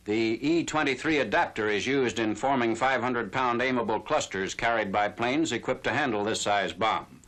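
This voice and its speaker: A man's voice: medium pitch, normal speed, few pauses, fairly bright tone. A man narrating a 1940s military newsreel in a formal, factual announcer style. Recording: noisy vintage 1940s newsreel soundtrack.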